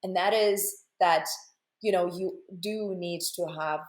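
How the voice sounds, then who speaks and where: A woman with a Russian accent hosts a casual interview, pausing to find her words. Her voice sits slightly low, and the room around her rings with echo. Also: fairly even intonation, darkish tone. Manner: thoughtful, searching for words, frequent fillers. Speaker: interview host.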